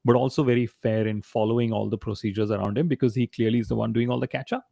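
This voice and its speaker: A man's voice: medium pitch, steady tone, quick pace, creaky, dark tone, no echo, clean studio sound. A man hosting a motorsport panel discussion, explaining points and putting questions to his co-hosts. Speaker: podcast host.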